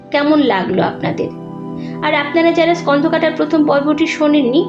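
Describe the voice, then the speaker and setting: A woman storyteller narrating a horror story in a dramatic style. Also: high-pitched. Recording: roomy, dull sound with clear noise.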